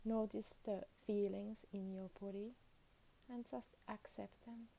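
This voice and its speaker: A woman leading a guided meditation as a teacher, speaking soothingly in short phrases with long pauses between them. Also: mid-range voice, steady tone, gravelly, mellow, rough recording.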